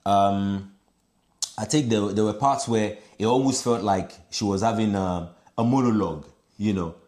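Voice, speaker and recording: Nigerian guy w a lowish voice hosting a reaction vid, casual chat, bits of yoruba and pidgin. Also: normal speed, a little mellow. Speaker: host of a challenge reaction video.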